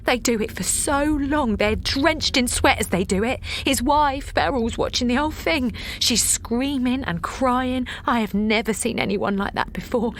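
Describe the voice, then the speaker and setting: A woman's voice: on the high side, measured pace, few pauses, a little hiss or hum. Shaken female protester, first-person narration, audio drama, faint ambience behind. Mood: shaken, horrified.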